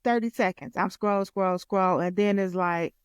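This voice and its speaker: Smooth-voiced American woman chatting playfully. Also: mid-range voice, normal speed, dry recording, clean studio sound. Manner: playful, slangy, expressive. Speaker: podcast co-host and content creator. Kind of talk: casual girl-talk chat.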